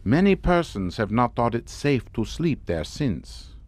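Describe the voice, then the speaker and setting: An actor playing a courtly, grandiose and mockingly amused Hungarian count in a suspense audio drama. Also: male voice, medium pitch, big pitch swings, a little slow, darkish tone, no echo. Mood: grandly sinister. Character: sardonic, theatrical Hungarian count, host of a chateau.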